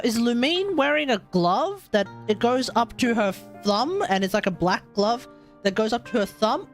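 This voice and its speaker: Animated high-voiced woman streaming a game. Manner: excitable, repeats herself. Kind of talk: casual gameplay commentary.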